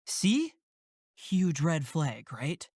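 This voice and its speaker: A woman voices a young male camp counselor, friendly and easygoing. The voice is low for a woman and recorded dry, with a gentle, peacemaking way of talking suited to audio drama. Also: big pitch swings, very slow, husky, no echo, full character voice. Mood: concerned. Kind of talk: horror audio drama acting.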